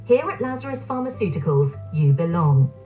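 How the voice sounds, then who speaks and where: A woman's voice: lowish voice, sing-song, a little fast. A woman reading a commercial voice-over as an advertisement announcer. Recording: tinny narrow-band audio under loud music. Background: loud music.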